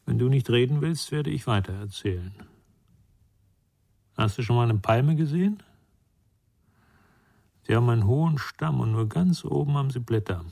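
An actor playing a gentle, melancholy man in a radio drama, speaking with subdued patience. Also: mid-range voice, lively intonation, moderate pace, vocal fry, pauses often. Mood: gentle, wistful.

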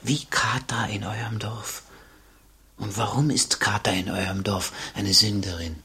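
A man's voice: fairly low pitch, fairly even intonation, a little slow, bright, forceful tone, husky, heavily acted. A male actor playing a poor, hungry young robber's son in a radio drama, terse and sullen in his talk. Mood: sullen, brooding. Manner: terse, sullen, plain-spoken.

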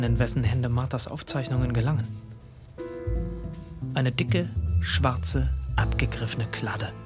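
Reflective male narrator played by an actor, first-person radio drama. Mid-pitched, musing delivery, clear music underneath. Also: natural voice. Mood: musing, intrigued. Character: reflective grandson, fascinated male narrator of a discovery. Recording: music behind the voice.

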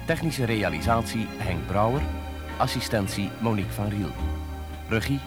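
A man formally reading the closing credits of a radio play in a measured announcer's manner. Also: fairly low pitch, lively intonation. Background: music.

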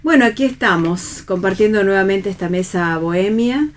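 A woman's voice: mid-range voice, moderate pace. A woman with an Argentine accent hosting a radio show in an informal, chatty way. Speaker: radio host. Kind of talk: casual radio presenting and interviewing. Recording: clearly noisy radio room.

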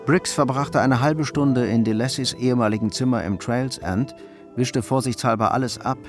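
A man's voice: medium pitch, moderate pace, faint music behind. A man reading scripted narration as the storyteller of a radio crime drama.

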